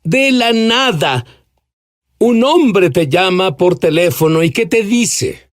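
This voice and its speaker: A man's voice: high-pitched, lively intonation, slow, dry recording, studio quality. A man hosting an interview, prompting his guest with emphatic questions. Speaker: show host.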